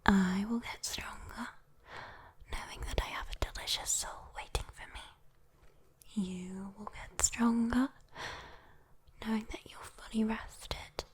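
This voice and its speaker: A woman with a British accent voicing a sweet, teasing, possessive demon girl in a soft, intimate whispered ASMR roleplay. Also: fairly high pitch, steady tone. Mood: possessive, coaxing. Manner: slow, coaxing, intimate, playful. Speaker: ASMR voice performer.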